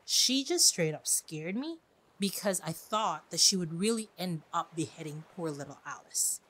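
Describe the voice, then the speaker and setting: A woman with a slightly low voice hosts a book podcast on her own. Her delivery is chatty and expressive, with a lively rise and fall in pitch, and she addresses her listeners directly. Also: moderate pace. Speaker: podcast host. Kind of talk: podcast monologue on classic books.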